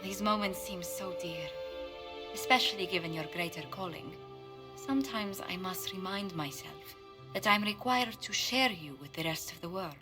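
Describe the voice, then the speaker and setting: An elegant noblewoman ambassador, played by a woman for a game cutscene. Her voice sits at a medium pitch with a formal, tender, devoted manner. Music plays clearly behind her. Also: moderate pace, natural voice. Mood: loving. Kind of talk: video game cutscene acting. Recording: background music under the voice.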